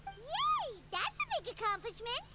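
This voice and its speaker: A woman voicing a small, excitable childlike fairy companion in a game, with a squeaky voice. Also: measured pace, soft background music, heavily acted. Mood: delighted. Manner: refers to self by name in third person. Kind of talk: animated game voice acting.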